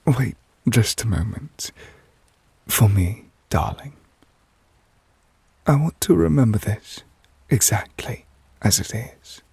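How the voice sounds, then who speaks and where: A man playing a devoted, possessive yandere witch in an intimate ASMR roleplay, speaking softly and breathily, often near a whisper. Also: on the low side, expressive, vocal fry, breathy edge, dry recording, exaggerated voice. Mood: tender. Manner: slow, tender, murmured endearments.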